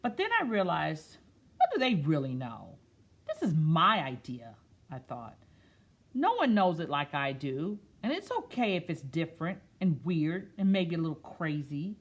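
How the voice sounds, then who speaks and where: Raspy, expressive woman reading a storybook. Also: low-pitched, a little slow. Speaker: storyteller reading a picture book aloud. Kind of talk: expressive read-aloud with soft, chatty asides.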